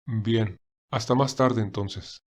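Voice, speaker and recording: Audio story role: tense young man, low and clipped, caring underneath woman performer. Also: a little mellow, exaggerated voice. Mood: hurried, concerned. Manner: terse, hurried. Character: tense, hurried young male theatre actor, tender underneath.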